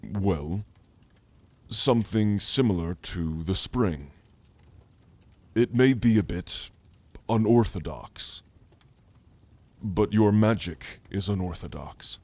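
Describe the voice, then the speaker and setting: A man playing a gentle, scholarly orc mage in a soft, earnest, halting ASMR roleplay, speaking intimately to the listener. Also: lowish voice, animated, no room sound, exaggerated voice. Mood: gentle, coaxing.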